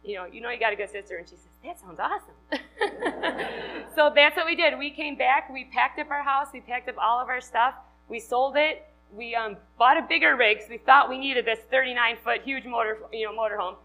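A woman's voice: high voice, normal speed, pushed and bright, soft background music, rough recording. A woman in her thirties from Wisconsin with a Wisconsin accent, giving a chatty, upbeat talk to a live audience and laughing often. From Wisconsin, USA. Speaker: conference speaker.

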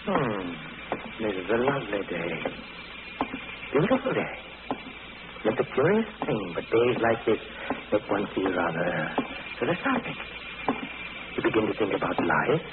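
A middle-aged little man with grandiose obsessions in 1940s radio style. His lowish voice swings widely as he muses theatrically. The recording is tinny and very noisy. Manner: musing, theatrical monologue with swelling intensity. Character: gentle-seeming, graying little man turned obsessive would-be destroyer. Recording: tinny old radio recording, heavy noise.

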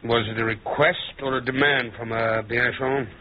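Man acting a husky, skeptical old judge. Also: mid-range voice, steady tone, normal speed, natural voice. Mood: wary. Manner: dry, probing. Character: shrewd, cautious, skeptical older uncle, a judge. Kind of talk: old-time radio drama acting. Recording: tinny old radio recording.

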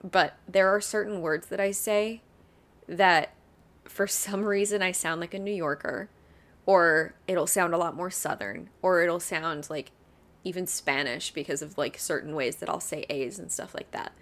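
Podcast co-host, female, lower voice, relaxed and chatty while telling a true crime case. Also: unhurried. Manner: chatty, laughs often. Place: Ohio.

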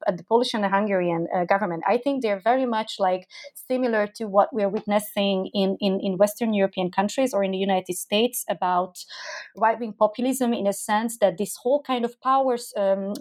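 A woman's voice: mid-range voice, darkish tone. A woman speaking as a guest expert on a podcast, explaining at length with many fillers and restarts. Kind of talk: podcast discussion.

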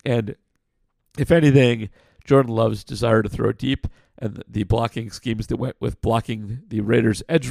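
A man hosting a casual podcast, answering listener questions in a blunt, frank way with lots of fillers; his voice sounds rough like sandpaper. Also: medium pitch, darkish tone, no room sound. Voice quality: gravelly, like sandpaper. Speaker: podcast host.

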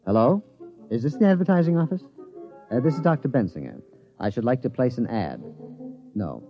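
An actor playing an earnest, bookish scientist with formal, precise diction in an old-time radio comedy. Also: male voice, medium pitch, sing-song, a little fast, over music. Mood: eager. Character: earnest, bookish male scientist, baffled but stubbornly enthusiastic gambler. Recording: muffled vintage radio recording.

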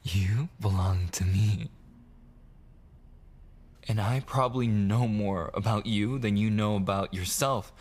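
A man playing a possessive, obsessively jealous boyfriend in an intimate ASMR audio roleplay, pleading and menacing in halting, soft speech that slips into whispers. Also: fairly low pitch. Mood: coaxing, controlling. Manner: pleading, halting and repetitive, slipping into breathy whispers.

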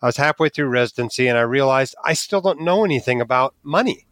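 A man who grew up in Alaska tells his money story as a podcast guest. He speaks in a middle-pitched, unguarded way and often laughs at himself. The sound is dry and close with no room around it. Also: expressive, quick pace, fairly bright tone, dry recording. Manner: candid, self-deprecating, chuckles while talking. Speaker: podcast guest, physician blogger. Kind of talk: casual interview storytelling.

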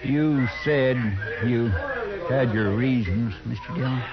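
An actor playing a loyal, anxious deputy with a folksy drawl in a 1950s radio drama. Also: male voice, medium pitch, takes it slowly. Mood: fretful. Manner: folksy drawl, eager and fretful. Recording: dull hissy 1950s radio broadcast.

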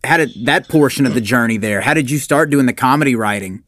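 A man's voice: mid-range voice, quick pace, fairly bright tone, no room sound. A man hosting a podcast, chatting casually with an enthusiastic, complimentary manner. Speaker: podcast host. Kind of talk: casual interview.

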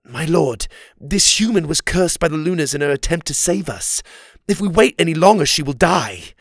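A desperate, earnest fairy soldier, voiced by a male narrator, pleading in a raised pitch. The storybook delivery is urgent and swoops up and down. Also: lively intonation, normal speed, no room sound, studio quality.

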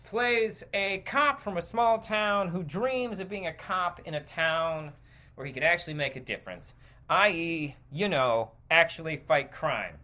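A man hosting a podcast, delivering a rambling, sardonic and exasperated opinion rant with halting, emphatic phrasing. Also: fairly high pitch, animated, sharp tone. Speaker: podcast host.

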